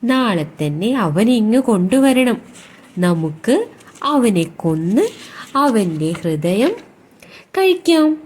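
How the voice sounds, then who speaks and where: A woman's voice: a little high, big pitch swings, takes it slowly, long pauses, darkish tone, breathy edge, exaggerated voice. A woman voicing a greedy, bossy female crocodile in a children's story, speaking with ruthless relish. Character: greedy, ruthless crocodile wife craving a monkey's heart.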